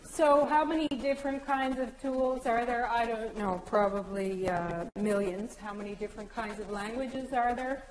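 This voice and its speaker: A woman's voice: fairly high pitch, fairly even intonation, moderate pace, hardly any pauses, crowd noise. Woman from newfoundland giving a conference talk, explaining stuff, echoey hall sound. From Newfoundland, Canada. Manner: explanatory, joking aside with anecdotes. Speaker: conference presenter. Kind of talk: conference presentation.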